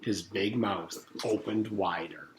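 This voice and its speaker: A man's voice: sits low, takes it slowly. A man in his forties, a teacher, reading a children's story aloud with casual recaps. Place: room at home. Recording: roomy echo, background noise.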